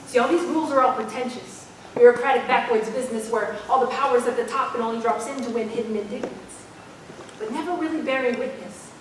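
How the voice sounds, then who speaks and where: Echoey, high-voiced defiant young woman acted on stage. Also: natural voice. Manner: emphatic, rhythmic delivery. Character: passionate, defiant young female activist poet. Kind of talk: spoken-word poetry and staged dialogue. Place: staged reading in an arts center hall. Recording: echoey hall, distant mic, faint noise.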